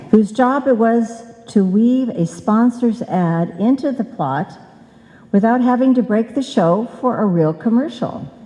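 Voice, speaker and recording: A woman's voice: mid-range voice, warm tone. A woman host giving a scripted introduction at a live show. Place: stage in a hall, live performance. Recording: echoey hall reverb.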